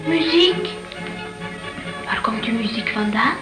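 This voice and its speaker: A woman's voice: a little high, animated, slow pace, loud music behind the voice. A woman acting a vulnerable, bewildered young girl, hesitant and wondering, in a radio drama. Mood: overwhelmed, wondering. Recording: echoey, noisy old radio recording.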